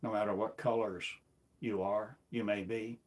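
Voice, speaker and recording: A man's voice: fairly low pitch, level tone, normal speed, a little mellow. A man delivering a rambling, self-recorded sermon, asking one repetitive, incantatory rhetorical question after another. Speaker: self-styled preacher.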